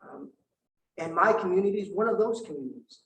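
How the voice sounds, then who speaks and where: A middle-aged man from Vietnam, a native Vietnamese speaker, giving an emphatic campaign speech at a forum, building rhetorical lists with measured pauses. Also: sits high, expressive. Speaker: political candidate at a forum. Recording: roomy hall, distant mic.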